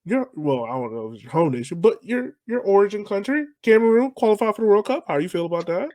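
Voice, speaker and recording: A man's voice: sits high, very animated, fast, darkish tone, no echo, clean studio sound. A man hosting a podcast, chatting informally and jokingly with a guest in a casual interview style. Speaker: podcast host.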